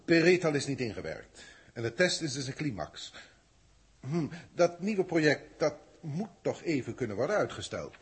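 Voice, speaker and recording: A detached alien overseer, speaks in a formal, clinical way. His pitch rises and falls in wide swings over a husky, rough tone. It sounds like an early 1960s radio drama. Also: big pitch swings, moderate pace, full character voice. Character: cold alien overseer testing humans, middle-aged man. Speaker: senior proctor reporting to a galactic council.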